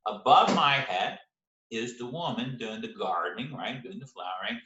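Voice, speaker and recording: Animated male teacher in an echoey room. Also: medium pitch, moderate pace, muffled recording, background noise. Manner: emphatic, repeats key points, checks in with "right?". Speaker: literature teacher presenting a video lesson. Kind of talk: informal explanatory lecture. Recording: roomy, echoing room sound.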